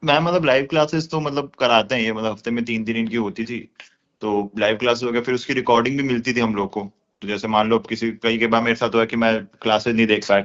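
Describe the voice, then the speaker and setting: A man's voice: lowish voice, fairly bright tone. A young man explaining things colloquially in a casual phone conversation, with many filler words. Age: young adult. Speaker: course student being interviewed. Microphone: phone call. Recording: dull lo-fi phone call recording.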